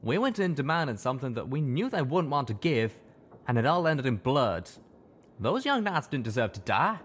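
A man voicing a young male pony who speaks in a rough folksy way, strained with guilt. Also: a little high, very animated, normal speed, voice pushed up. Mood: weeping. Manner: folksy drawl, strained and emotional.

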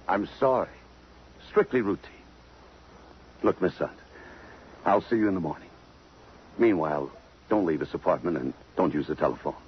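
A man's voice: low-pitched, moderate pace, pauses often, natural voice. An actor playing a tough American police lieutenant in 1950s radio drama, blunt and pressing as he questions people. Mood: firm, softening. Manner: blunt, pressing interrogation, slangy. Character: tough, persistent male police detective lieutenant. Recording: muffled, hissy 1950s radio broadcast.

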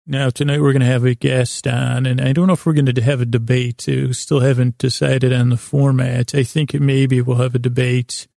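Male sleep-podcast host, heavy vocal fry, monotone, meandering and halting bedtime monologue. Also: mid-range voice, dry recording, studio quality. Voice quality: gravelly, creaky. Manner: meandering, halting, pauses and restates himself mid-thought. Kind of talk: rambling bedtime monologue to help listeners sleep.

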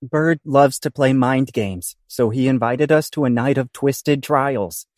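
A man telling a scripted audio drama story in an ominous, deliberate manner. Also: medium pitch, a little mellow, no room sound, clean studio sound. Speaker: podcast host performing in a comic play.

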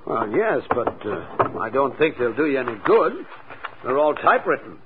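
A man's voice: medium pitch, big pitch swings, moderate pace, heavy background noise, close to an everyday voice. A man playing a guarded, nervous go-between in a 1940s radio crime drama, talking in a wary, defensive, hesitant way. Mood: reluctant, uneasy. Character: guarded, nervous male go-between, reluctant and suspicious. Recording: tinny, band-limited old radio recording.

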